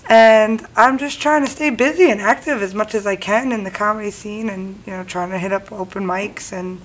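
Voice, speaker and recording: A woman's voice: medium pitch, normal speed, low-quality recording. A young woman comedian chatting as a guest on a small studio set, laughing easily. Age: young adult. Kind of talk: casual chat.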